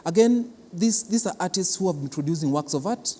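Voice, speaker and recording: A man with a Kenyan accent explains things as an interviewed official, speaking haltingly and repeating his words. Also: high-pitched, lively intonation, warm tone. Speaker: cultural institution official being interviewed. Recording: reverberant hall with clear background noise.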